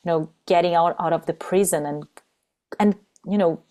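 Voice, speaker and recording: Portuguese-speaking woman on a video call, lowish mellow voice, lots of restarts and ums. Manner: halting, many restarts and fillers. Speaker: trainer for mothers. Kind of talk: casual video-call interview.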